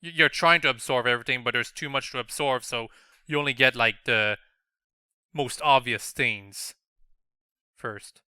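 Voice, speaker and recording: A man's voice: medium pitch, moderate pace, long pauses, pushed and bright, clean tone, dry recording, studio quality. A young man giving casual video game playthrough commentary in a hesitant, rambling way, often correcting himself.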